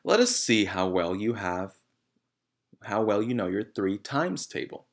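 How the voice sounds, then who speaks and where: A thunderous storybook headmistress, acted out by a man. She barks and shouts like a tyrant, full of scorn and menace for those beneath her. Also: on the low side, very animated, a little slow, full character voice. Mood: menacing. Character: bullying, thunderous headmistress, a huge tyrannical woman.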